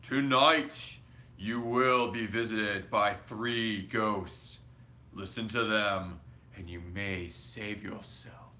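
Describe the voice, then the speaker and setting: Storybook ghost of a miser, played by a male reader: doleful, moaning, dragging out each warning slowly with forceful edge. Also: on the low side, steady tone, exaggerated voice. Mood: mournful, warning. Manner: slow, drawn-out moaning delivery.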